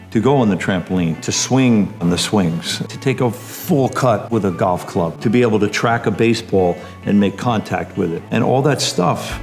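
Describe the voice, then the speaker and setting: A man's voice: fairly low pitch, a little mellow. A middle-aged man presenting a documentary piece, mixing narration with personal reflection as a parent advocate.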